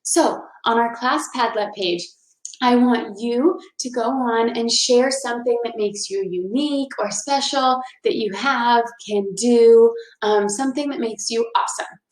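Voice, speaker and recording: Female teacher for a children's read-aloud, higher-pitched, explains simply, recorded in an echoing room. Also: measured pace. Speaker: teacher reading aloud to a class. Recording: roomy, echoing indoor sound.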